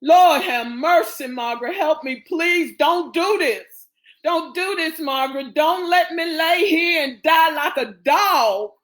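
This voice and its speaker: Injured brother pleading for mercy in dramatic fiction, performed by a woman, drawn-out and high. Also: a bit bright. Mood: desperate pleading. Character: wounded man pleading with his sister for life.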